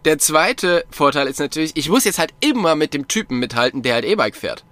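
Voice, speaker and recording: A man's voice: a little high, lively intonation, brisk, fairly bright tone, dry recording. A man chatting casually as a podcast co-host about cycling, rambling with many fillers and restarts. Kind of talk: casual chat.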